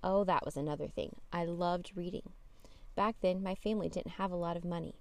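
A woman reading a children's picture book aloud as a storytime host, with expressive emphasis on key words. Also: lowish voice, fairly quick, dry recording. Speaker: storytime podcast host and reader.

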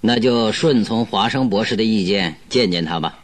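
An actor playing a confident, commanding detective in a radio drama, with a brisk, sardonic, probing manner. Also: male voice, fairly low pitch, natural voice. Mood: wry, amused.

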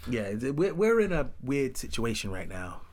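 A man hosting a casual podcast chat, his voice rising and falling widely as he talks. He is animated and full of slang, exclaiming as he goes. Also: medium pitch, moderate pace. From Los Angeles area.

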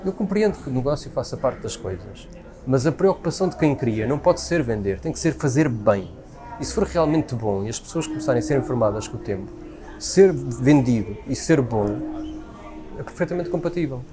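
Fast-talking Portuguese man, emphatic. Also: mid-range voice, lively intonation. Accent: European Portuguese. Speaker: speaker sharing opinions in a conversation. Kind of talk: spontaneous opinionated conversation.

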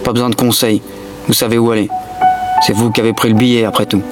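A man's voice: medium pitch, level tone, fast. A man giving a blunt, gritty dramatic reading of a monologue full of slang. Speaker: reader of a short text. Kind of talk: dramatic reading over music. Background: guitar music.

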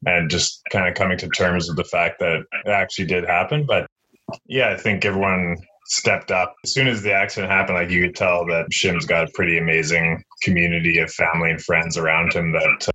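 A young man chats as a podcast guest. His voice is low yet bright and forward. He talks loosely and casually, with plenty of fillers. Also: little pitch movement, brisk, rough recording. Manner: easygoing, loose, with many fillers. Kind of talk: casual interview answers.

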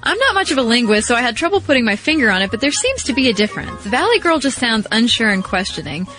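A woman co-hosts a podcast, reading letters and teasing her partner. Her pitch swings widely, and faint music sits behind her. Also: fast. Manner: lively and playful. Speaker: podcast co-host. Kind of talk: reading listener mail on a podcast.

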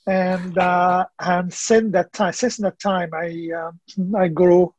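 A man, a ministry leader talking in a halting, earnest way with many fillers as a podcast guest. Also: high-pitched, flat delivery, darkish tone, no room sound. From Egypt. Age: late 50s. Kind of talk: casual interview conversation.

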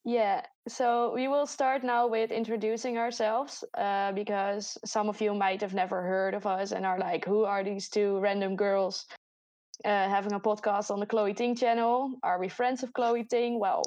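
A young Dutch woman in her twenties speaks English with a clear Dutch accent, chatting easily and breaking into laughter. Her voice sits at an ordinary middle pitch. The sound is dry and close, with no room around it. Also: flat delivery, moderate pace, darkish tone, no room sound, dull audio. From Netherlands. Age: 20s. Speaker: podcast co-host. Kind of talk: casual chat. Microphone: voice chat recording.

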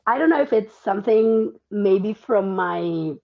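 Slow-talking woman, hesitant guest. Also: mid-range voice, expressive, vocal fry. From Argentina and Italy. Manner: hesitant, many ums and restarts. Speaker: podcast guest. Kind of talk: casual interview chat. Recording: dull lo-fi audio.

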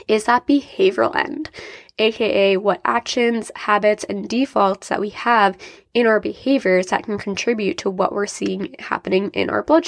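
A young woman hosts her own podcast, talking straight to her listeners in an upbeat, explanatory way. A sinus infection leaves her sounding a little nasal and congested. Her pitch sits in an ordinary middle range, and the recording is dry with no room echo. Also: vocal fry. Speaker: podcast host and diabetes coach. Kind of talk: solo podcast talk.

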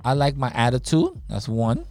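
A man's voice: mid-range voice, huge pitch swings, normal speed, a little mellow. Easygoing middle-aged man chatting with kids. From United States. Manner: easygoing, repeats himself, restarts often. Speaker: staff member talking with children. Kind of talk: casual chat with kids.

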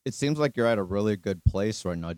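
A man's voice: fairly low pitch, expressive, a little fast, a little mellow. A man co-hosting an interview, asking questions in a casual, conversational style. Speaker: interviewer, co-host.

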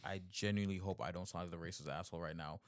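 A man's voice: low-pitched, very fast. A man from Trinidad co-hosting a podcast, exasperated and protesting. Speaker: podcast co-host. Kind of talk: casual banter.

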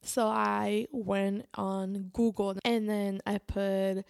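Creaky-voiced woman chatting casually. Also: mid-range voice, flat delivery, a little mellow, no room sound, studio quality. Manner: informal, halting, restarts sentences and quotes herself often. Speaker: vlogger. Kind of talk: casual personal storytelling to camera.

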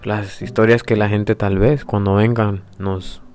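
A man's voice: on the low side, little pitch movement, a little mellow. A man, introducing himself as a podcast host in a reflective, hesitant way. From El Salvador. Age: 20s. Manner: reflective, hesitant, with pauses and restarts. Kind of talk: personal introduction monologue. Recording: faint guitar music behind.

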